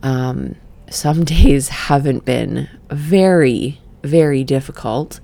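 A middle-aged woman who just woke up, leading a gentle breathing exercise and then reflecting in a soft, meandering way. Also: a bass voice, creaky. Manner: soft, slow and meandering, with sighs and fillers. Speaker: podcast host and session coach. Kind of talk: guided breathing then casual reflective talk.

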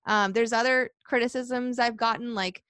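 A young woman who works as a reporter, answering interview questions in a chatty, energetic way and laughing often. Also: normal speed, clear, resonant tone, dry recording, clean studio sound. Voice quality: high-pitched voice. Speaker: sports reporter.

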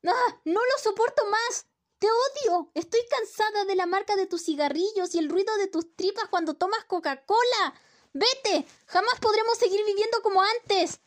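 A young woman playing a very high-voiced melodramatic wife. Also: normal speed. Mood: furious. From Chile. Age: young adult. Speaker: student radio drama actor. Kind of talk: amateur radio drama acting.

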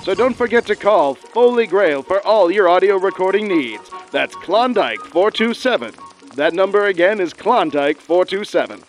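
Vintage radio commercial announcer, male actor: high voice, peppy sales delivery, soft music bed. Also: animated, moderate pace, low-quality recording. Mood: salesmanlike. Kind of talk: parody 1940s radio advertisement.

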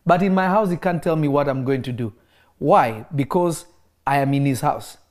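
A man who grew up in Kenya, preaching in a relaxed interview and driving his points home by repeating key phrases. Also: fairly high pitch, moderate pace. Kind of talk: conversational preaching.

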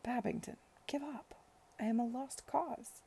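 A woman voicing a despondent young lady with a sharp tongue. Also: on the high side, fairly even intonation, a little slow, gravelly, husky, voice pushed up, exaggerated voice. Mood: weary. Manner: weary, tart.

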